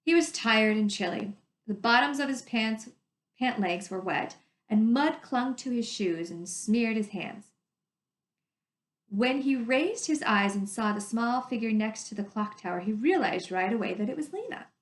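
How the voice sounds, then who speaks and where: A woman's voice: fairly high pitch, expressive, measured pace, reverberant. A woman reading a storybook aloud as a teacher, in a narrating style with casual wording.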